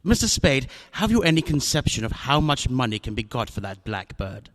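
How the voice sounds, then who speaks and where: A smooth, dangerous fat man in a radio play. He speaks in elaborate, courtly phrases and keeps calling people "sir". A long, hall-like reverb trails each line. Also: mid-range voice, very animated, a little fast, fairly bright tone. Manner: ornate, courtly, says "sir" often. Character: smooth, fat older male villain, genteel. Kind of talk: radio drama acting.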